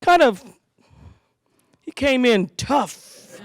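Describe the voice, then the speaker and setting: A woman hosting a school community safety event, giving an animated speech to parents and leading call-and-response chants. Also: high voice, extremely slow, slight background noise.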